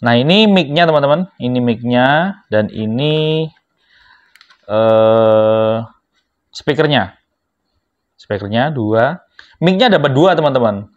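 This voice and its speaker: Low-fidelity recording of a casual man reviewing. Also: lo-fi sound. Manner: informal, explains step by step to viewers. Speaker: product reviewer, vlogger. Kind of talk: casual unboxing and product demonstration.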